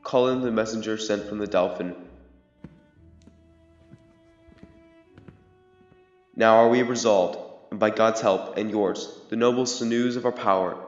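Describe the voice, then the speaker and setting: A man's voice: on the low side, fairly even intonation, normal speed, lightly acted. A proud, resolute young king played by a young man declaims formal Shakespearean verse in an audio drama. His voice rings in a long, echoey reverb with music beneath. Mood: resolved. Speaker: amateur student actor. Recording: muffled, echoey hall reverb under music.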